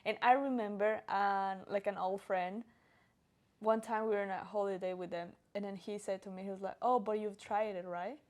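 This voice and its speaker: A young woman with a Colombian accent chatting casually as a podcast co-host, animated and expressive, with lots of "like". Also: medium pitch, moderate pace. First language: Spanish. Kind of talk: casual chat, personal anecdotes.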